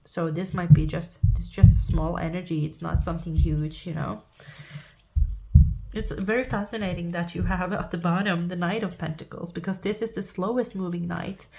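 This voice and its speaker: Low gravelly Norwegian woman reading tarot. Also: steady tone, a little hiss or hum, rough recording. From Norway. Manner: halting, thinking aloud with restarts and soft laughs. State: sniffly. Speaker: tarot reader. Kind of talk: pick-a-card tarot reading.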